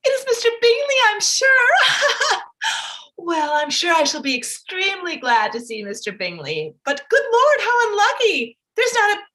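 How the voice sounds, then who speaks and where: A woman playing a fretful, excitable middle-aged wife and mother in a period drama reading, fluttery, exclamatory and complaining. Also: very high voice, normal speed, heavily acted. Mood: excited.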